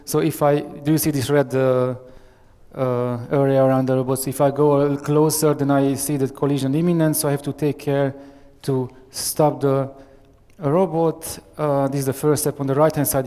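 A man's voice: medium pitch, dark tone. Romanian-accented man demoing in a hall. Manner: hesitant, many fillers and restarts. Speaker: software architect giving a demo. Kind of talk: live tech demo presentation. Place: large conference room with audience. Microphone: headset mic. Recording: long reverb of a large hall.

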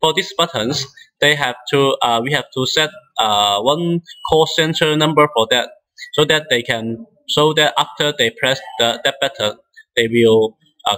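Bright-voiced man giving a halting software tutorial. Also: medium pitch, rough recording. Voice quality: non-native English speaker. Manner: halting, hesitant phrasing with frequent restarts. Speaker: instructor demonstrating software.

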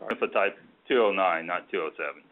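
A man presenting informally on a conference call, explaining procedures in a halting, hesitant way. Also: sits low, slight background noise. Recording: narrowband tinny phone line.

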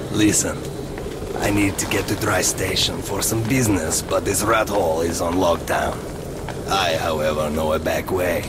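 Gruff, low-pitched smuggler. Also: male voice, heavy background noise. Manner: coarse, swearing, streetwise. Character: gruff, shady middle-aged male smuggler in a ruined underground world. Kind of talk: video game character acting. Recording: loud game music over the voice.

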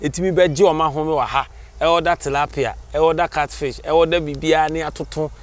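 A young Ghanaian man interviewing a farmer outdoors, often prompting with short murmurs and slow questions. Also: a little high, fairly even intonation. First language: Twi. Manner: many short "mm" backchannels, drawn-out questions. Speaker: interviewer, channel host. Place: open farmland outdoors.

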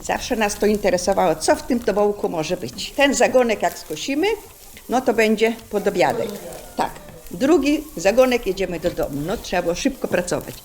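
A woman's voice: medium pitch, animated, normal speed, slight background noise. A woman in her sixties or older, telling childhood memories like a story. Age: 60s or older. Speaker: senior sharing memories. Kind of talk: storytelling reminiscence.

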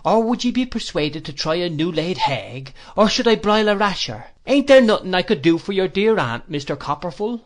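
A fawning, wheedling landlady who drops her h's and fusses over her guests, as a male narrator puts her on. Her voice rides a little higher than his own. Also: big pitch swings, normal speed, few pauses. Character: fawning working-class landlady, a woman.

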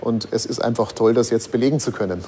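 A man's voice: mid-range voice, fast talker. A man giving reflective interview commentary as an expert historian.

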